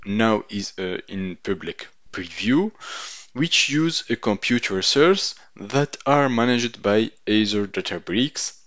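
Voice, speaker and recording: A man giving a tutorial voice-over over slides, halting now and then with ums and uhs. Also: fairly low pitch, fairly bright tone, no echo. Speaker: instructor. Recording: dull lo-fi dry voice-over.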